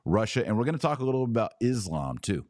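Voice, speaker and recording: A man with a low voice hosts a podcast in a casual, conversational style. He sounds informal and enthusiastic. The sound is dry, with very little room on it. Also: very animated, quick pace, darkish tone, dry recording. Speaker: podcast host. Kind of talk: casual chat.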